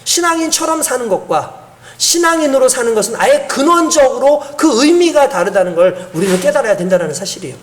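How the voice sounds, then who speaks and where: A man's voice: very high voice, big pitch swings, brisk, slight background noise. A male pastor delivering an earnest, emphatic sermon, asking the congregation rhetorical questions and chuckling now and then.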